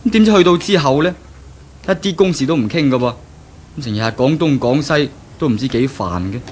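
Casting: man playing young male graduate fiancé, pragmatic and persuasive, medium pitch, 1970s radio drama with faint noise. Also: a little fast, close to an everyday voice. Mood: exasperated. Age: young adult. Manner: explanatory, earnest, persuasive.

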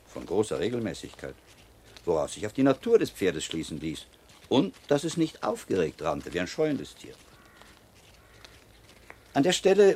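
An actor playing a shrewd, middle-aged learned friar in a radio play, a wry and self-assured mentor who explains things precisely. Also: male voice, fairly low pitch, darkish tone, a little hiss or hum.

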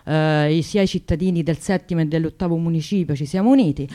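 A woman talking spontaneously on the radio about a protest, often halting and searching for words. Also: sits low, warm tone. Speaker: activist guest, singer.

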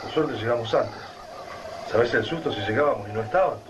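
Actor as fast-talking anxious Argentine family man. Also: medium pitch, dull audio, noisy background, lightly acted. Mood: relieved. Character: anxious, hopeful family man surviving a catastrophe.

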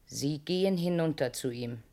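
An actress playing an enigmatic, commanding wife who demands her husband's death, solemn and deliberate, in a 1960s radio play. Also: low voice, mellow. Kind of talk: 1960 German radio play acting.

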